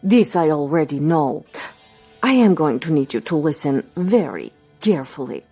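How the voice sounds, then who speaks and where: A woman playing a commanding, mystical palm reader in an audio drama, coaxing with formal phrases and dramatic, hushed pauses. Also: deep voice, very animated, breathy edge, full character voice. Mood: ominous, urgent. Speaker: fortune teller. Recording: music under the voice.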